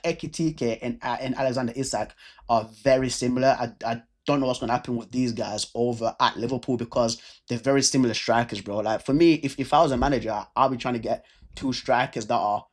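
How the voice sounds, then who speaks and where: A man's voice: medium pitch, steady tone, brisk. Man with a stammer chatting football. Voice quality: stammer with repeated words and restarts. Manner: chatty, speaks straight to viewers. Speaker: football video creator. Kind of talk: casual stat-by-stat breakdown.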